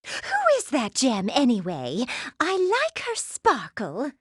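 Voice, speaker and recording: Vain cartoon queen villain, gushy n condescending, high voice, takes her time, very dry close recording. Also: huge pitch swings, slow, a bit bright, breathy edge, dry recording, heavily acted. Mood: amused, mocking. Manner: theatrical, gushing, condescending. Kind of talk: animated game voice acting.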